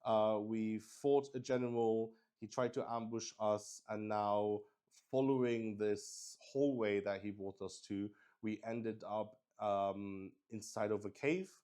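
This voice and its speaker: Male streamer, lower-pitched, unhurried and rambling; chatty, hesitant explainer for a tabletop roleplaying livestream. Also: fairly even intonation, dark tone, clear, resonant tone. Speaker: streamer playing solo tabletop RPG.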